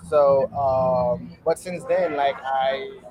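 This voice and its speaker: A young man from Haiti, a guest entrepreneur, answering interview questions in a halting, earnest way with many pauses and fillers, speaking from a restaurant. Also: medium pitch, a crowd in the background, poor audio. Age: young adult.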